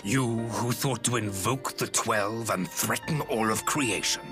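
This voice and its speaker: An actor playing a grave, proud and scornful potentate in a fantasy game, with theatrical delivery. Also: male voice, lowish voice, unhurried, close to an everyday voice. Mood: scornful outrage. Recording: loud orchestral music underneath.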